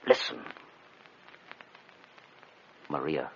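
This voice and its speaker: An actor playing an intense male hypnotist in a gothic radio drama, solemn, deliberate and commanding. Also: natural voice. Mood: grave, defiant. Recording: dull radio recording with faint noise.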